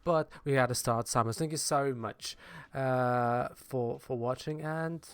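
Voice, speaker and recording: A man's voice: mid-range voice, unhurried, clear, resonant tone, dry recording. A young man whose first language is Polish, hosting his own channel in a loose, rambling vlog chat with many fillers and self-corrections. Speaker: channel host.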